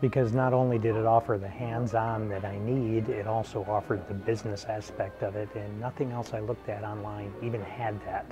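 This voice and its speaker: Matter-of-fact low-voiced man from New York. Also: level tone, moderate pace, hardly any pauses, highs cut off. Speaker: auto detailing student. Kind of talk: testimonial interview. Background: clear background music.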